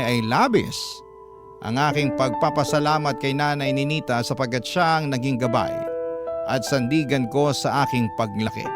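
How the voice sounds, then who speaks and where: A man narrating an emotional scripted first-person story for a radio drama, measured and earnest. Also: mid-range voice. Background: prominent background music.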